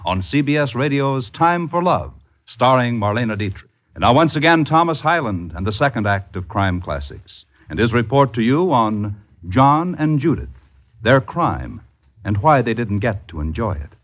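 A man's voice: mid-range voice, big pitch swings, moderate pace. A man working as a radio announcer, reading promos in a polished, formal 1950s network style. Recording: dull old radio recording.

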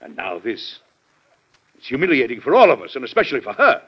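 A man's voice: medium pitch, huge pitch swings, fairly quick, husky. An actor playing a stern, weary President and exasperated father in an old-time radio drama, speaking formally and reproachfully. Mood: upset, exasperated. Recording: dull lo-fi vintage radio recording.

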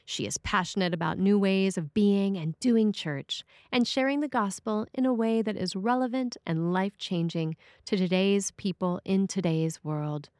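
A woman's voice: mid-range voice, normal speed, few pauses, darkish tone, no echo, clean studio sound. A woman reading a written sermon as a preacher, in a scripted style.

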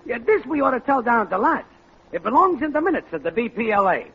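An actor playing a self-important, scheming American neighbor, mock-grandiose and easily offended, in 1940s radio sitcom style. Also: male voice, very high-pitched, sing-song, fast, a bit bright, husky, exaggerated voice. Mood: flattering, chummy. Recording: dull, noisy old radio recording.

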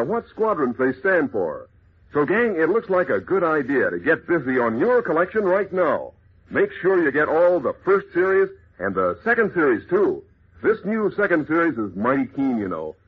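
A man's voice: mid-range voice, husky. A man delivering a folksy, chummy 1940s radio commercial aimed at children. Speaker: radio advertising pitchman. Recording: thin, phone-like old radio sound.

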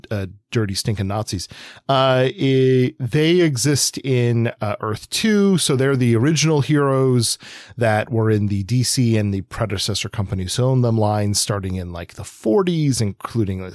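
A man chats as a podcast co-host in an average-pitched voice. He explains casually, wandering into wry asides and digressions. The recording is dry, without room echo.

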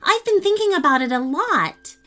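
Woman putting on an eager, high-pitched little girl. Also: normal speed, soft background music, heavily acted. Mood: eager, hopeful. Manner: earnest, excitable, polite. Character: eager, polite, wide-eyed little girl.

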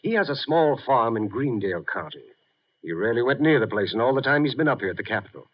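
An actor playing a worried government official in a 1950s radio drama, speaking formally and gravely. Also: male voice, medium pitch, expressive, fast, close to an everyday voice. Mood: grave, concerned. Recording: muffled vintage 1950s radio recording.

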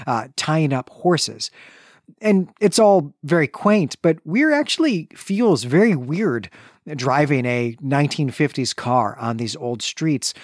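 A man co-hosts a book discussion podcast, walking listeners through a chapter. He talks in an animated way and leans hard on key words. The sound is dry and close, with no room echo. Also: medium pitch. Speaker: podcast co-host.

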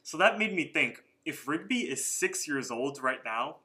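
Bright-voiced young man chatting. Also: on the high side, animated, normal speed. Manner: chatty, lots of "like" fillers. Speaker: podcast co-host. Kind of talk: casual chat recapping a cartoon.

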